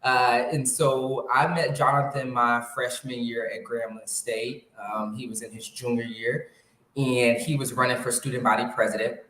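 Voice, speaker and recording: A man in his thirties, a guest telling a story in a relaxed, chatty way. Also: mid-range voice, moderate pace. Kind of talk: casual interview storytelling. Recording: echoey room.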